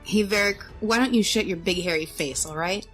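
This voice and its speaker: A man's voice: sits high, a little fast, fairly bright tone, soft background music, voice pushed up. A man voicing a sassy, irritated young woman in a fantasy audio story, snippy and scolding.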